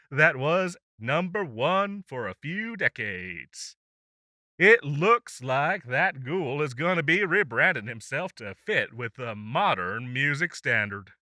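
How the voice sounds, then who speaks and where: An upbeat demon DJ acted by a man hosts a chart show from hell in an audio roleplay. His voice is very bright and forceful, a little high, and swings freely in pitch. He delivers his patter with teasing, showy enthusiasm, recorded dry and close. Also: dry recording, heavily acted. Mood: chatty, showy. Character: upbeat, chatty male demon radio DJ from hell. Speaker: radio host. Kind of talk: radio chart countdown, scripted audio roleplay.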